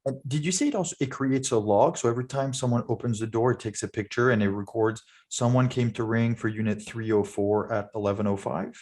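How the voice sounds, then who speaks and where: A man's voice: mid-range voice, steady tone, brisk, few pauses, darkish tone. A man hosting a podcast, asking casual, conversational interview questions. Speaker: podcast host.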